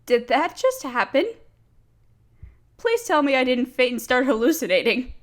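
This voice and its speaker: A fairly high-pitched young woman, played by a female voice actor speaks close and intimately, as in a romantic audio drama. She is nerdy and smitten with her friend. Her delivery is nervous and hesitant, with stammers and sighs. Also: sing-song, normal speed. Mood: flustered, shaken. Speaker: audio roleplay performer. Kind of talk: intimate romantic roleplay acting.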